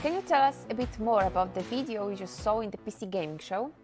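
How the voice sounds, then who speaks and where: Lady interviewer reading questions, medium pitch, little bit of music in back. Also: lively intonation, fast talker, a little mellow.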